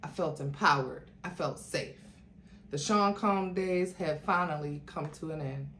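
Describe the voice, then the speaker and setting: A woman's voice: low-pitched, unhurried, a bit bright. A woman reading a story aloud in a dramatic, theatrical way, as a host would. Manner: theatrical, acts out quoted dialogue. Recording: roomy echo with faint noise.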